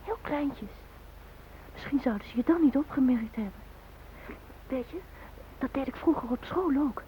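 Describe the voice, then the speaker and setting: An actress in a radio drama playing a grieving young woman who speaks haltingly and in anguish to her dead fiancé. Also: high-pitched, expressive, slight background noise. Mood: quiet, wistful. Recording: dull, lo-fi old radio recording.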